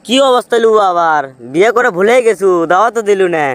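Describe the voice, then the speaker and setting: A man's voice: high voice, quick pace, a bit bright, clear, resonant tone, no echo. A man voicing a pushy, crude young friend with a Bogura Bangladeshi accent, brash and taunting in a comic cartoon. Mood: demanding.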